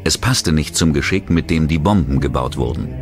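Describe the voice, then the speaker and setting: Male narrator with a very deep voice for a true-crime documentary, reading over loud music. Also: lively intonation, normal speed.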